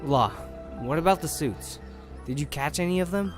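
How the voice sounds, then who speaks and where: A man's voice: a little high, lively intonation, normal speed, soft background music, natural voice. A man playing a brave, self-sacrificing policeman in an audio crime drama. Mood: relieved.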